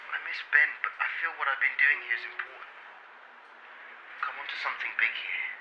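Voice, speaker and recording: An earnest young British radio journalist, played by a man, records an audio diary with halting delivery. The voice sits at a medium pitch, with some faint noise on the tape, as in an audio drama. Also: steady tone, lo-fi sound, natural voice. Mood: tender, determined.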